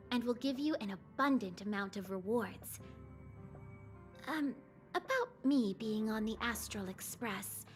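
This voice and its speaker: An actress voicing a secretive, coaxing woman who guards memories in a video game, speaking in a measured way. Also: on the high side. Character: secretive, softly insistent mysterious woman, keeper of memories.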